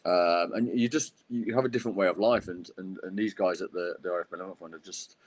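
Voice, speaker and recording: A man answering podcast interview questions as a guest, speaking haltingly with many fillers and restarts. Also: deep voice, fairly even intonation, slight background noise. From United Kingdom. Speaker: guest, wheelchair rugby player.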